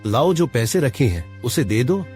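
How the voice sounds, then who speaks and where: A man's voice: mid-range voice, very animated, a little slow, a little mellow, breathy edge. A man playing a poor, worried village farmer in a story, pleading and submissive. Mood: urgent. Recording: music behind the voice.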